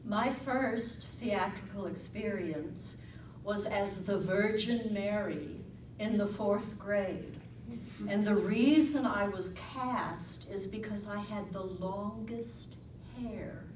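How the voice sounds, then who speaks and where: A woman, a voice actor and trained singer, speaking in an unhurried, gently humorous way on a stage panel. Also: medium pitch. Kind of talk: stage interview. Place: performing arts center stage. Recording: echoey hall, distant mic, faint hum.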